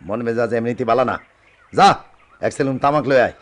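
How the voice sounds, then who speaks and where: A man's voice: medium pitch, moderate pace, lightly acted. An actor playing a scheming, menacing village headman in a radio drama, barking orders in a rural Bangladeshi dialect. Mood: testy, secretive.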